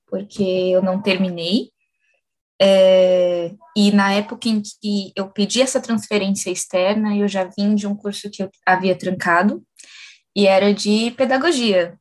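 A young woman in her twenties tells her story as a student. She speaks in a reflective, thoughtful way with small hesitations. Her voice sits at an ordinary middle pitch. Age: 20s. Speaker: university student. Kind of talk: testimonial interview.

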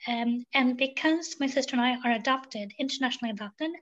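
A high-pitched woman from Sweden speaks as a podcast guest, choosing her words with care and pausing often on fillers. The sound is somewhat dull and lo-fi. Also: a bit bright. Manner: thoughtful, many um fillers. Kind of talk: reflective interview talk.

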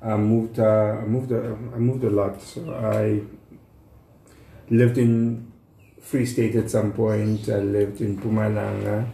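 A man in his thirties with a South African accent, speaking casually and haltingly as an interview guest. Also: lowish voice, little pitch movement, vocal fry, dark tone. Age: 30s. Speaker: podcast guest. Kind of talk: casual self-introduction. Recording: echoey room, faint background noise.

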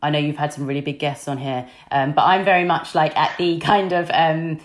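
A young woman with a notably low, husky voice talks through her career in a relaxed interview. She is chatty and candid and leans on casual fillers as she goes. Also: a little fast. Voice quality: deep, husky voice. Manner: chatty and candid, many fillers like "you know". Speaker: sports news presenter, podcast guest.

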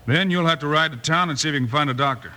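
An actor playing an earnest, upright western hero in an old-time radio drama. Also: male voice, fairly high pitch, racing, bright, forceful tone, faint music behind. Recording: hissy old radio broadcast recording.

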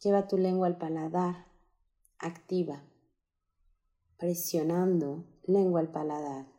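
A woman leading a guided meditation in a calm, soothing, unhurried manner, giving gentle instructions with pauses. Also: fairly low pitch, muffled recording.

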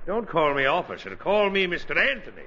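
An actor playing a condescending, mock-polite police officer in a muffled, noisy 1940s radio comedy. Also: male voice, a little high, sing-song. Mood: patronizing, amused. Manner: drawn-out, mock-polite, patronizing. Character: condescending, smugly amused male police officer.

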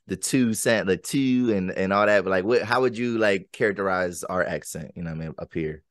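Deep-voiced rambling podcast host. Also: male voice, fairly quick, dry recording, studio quality. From central Pennsylvania. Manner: rambling, many fillers and restarts. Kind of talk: casual interview chat.

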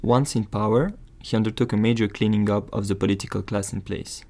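Low gravelly man narrating a podcast. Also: fairly even intonation, a little fast, mellow. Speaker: podcast co-host.

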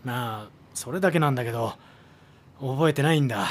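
A man's voice: medium pitch, fairly quick, natural voice. An actor playing an earnest, patient man who mediates in a radio drama, with a hesitant, placating manner. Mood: let down. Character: earnest male go-between, patient and conciliatory. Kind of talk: modern radio drama acting.

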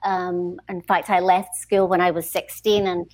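A middle-aged Scottish woman speaks as a podcast guest, reflecting on her past in a measured, conversational way. She pauses often, starts sentences over, and lands each point with quiet assurance. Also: lowish voice, little pitch movement. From Scotland. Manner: thoughtful, frequent "um" pauses and repeated starts. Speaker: podcast guest, HR leadership consultant. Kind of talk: conversational interview.

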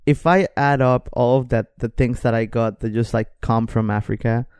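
A young man with a smooth, clear voice at a medium pitch, chatting as a podcast co-host. He jokes loosely in slang and swears freely. The sound is close and dry. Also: fairly even intonation, normal speed, darkish tone, no echo. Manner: slangy, joking, profane. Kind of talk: casual chat.

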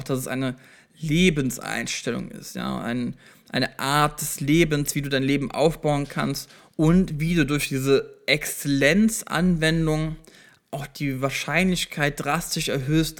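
A man's voice: on the high side, a little slow. A man hosting a solo self-improvement podcast, talking directly to the listener and explaining step by step, conversational with small restarts. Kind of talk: solo motivational podcast talk.

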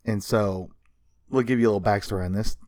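A man co-hosting a casual, comedic history podcast, telling stories from notes in an animated way with casual swearing. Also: lowish voice, fast talker, dry recording, clean studio sound. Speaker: podcast co-host.

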